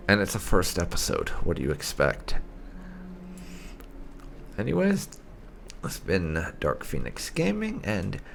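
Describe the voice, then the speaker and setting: A man's voice: low-pitched, vocal fry. A man hosting a gaming video, giving casual let's play commentary in a wry, chatty way.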